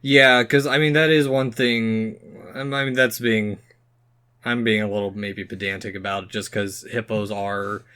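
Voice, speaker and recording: A man's voice: lowish voice, fairly even intonation, normal speed, sharp tone, clean tone. Laid-back man in casual podcast chat. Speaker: podcast co-host.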